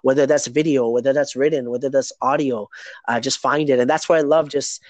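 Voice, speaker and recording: A man's voice: medium pitch, fairly even intonation, a little fast, no echo. A man hosting a daily podcast, chatting casually in a rambling way and often tagging "right?" onto his points. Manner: rambling, keeps asking "right?". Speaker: podcast host.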